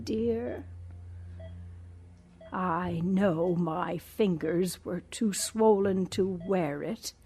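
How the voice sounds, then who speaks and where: A posh-mannered old stroke patient, slow n halting, genteel but icy, radio play style. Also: female voice, mid-range voice, darkish tone, breathy edge, full character voice. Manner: genteel, condescending, deliberate. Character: cold, genteel old rich woman recovering from stroke, quietly menacing. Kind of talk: audio drama acting.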